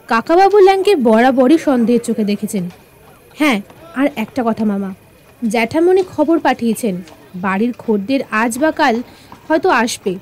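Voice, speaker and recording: An actress playing a firm, watchful young detective heroine in a radio drama, speaking expressively and with authority. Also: female voice, on the high side, a little mellow.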